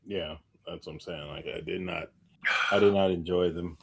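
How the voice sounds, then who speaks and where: A man's voice: low-pitched, fairly even intonation, moderate pace, gravelly, a little hiss or hum. A man co-hosting a show in casual, conversational chat.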